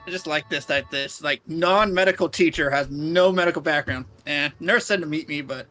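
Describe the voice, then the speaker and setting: A man's voice: a little high, normal speed, bright, forceful tone, faint music behind. A man bantering casually with friends over a game, blunt, teasing and sweary. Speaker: friend joining a game playthrough.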